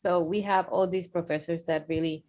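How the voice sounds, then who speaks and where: A woman's voice: lowish voice, fairly even intonation, moderate pace, dark tone. A woman, a native Spanish speaker, hosting a podcast and chatting casually with her guest. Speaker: podcast host and physician. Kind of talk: casual conversational interviewing.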